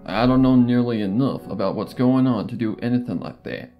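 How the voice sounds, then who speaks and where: A man's voice: medium pitch, a little fast, highs cut off, soft background music, lightly acted. A man voicing a stern, suspicious country pony mare in a story reading, with folksy, plain-spoken delivery.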